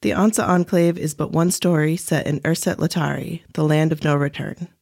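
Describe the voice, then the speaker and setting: A woman's voice: sits low, steady tone, normal speed, vocal fry, darkish tone, dry recording, studio quality. A woman reading scripted podcast announcements as the show's announcer.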